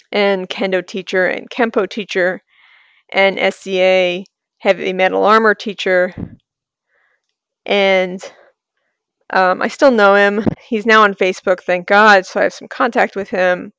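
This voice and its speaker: Rambling woman, gravelly edge. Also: medium pitch, a bit bright, no echo. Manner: rambling, self-deprecating, many asides and restarts. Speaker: author. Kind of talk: casual solo talk about her writing. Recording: slightly muffled dry recording.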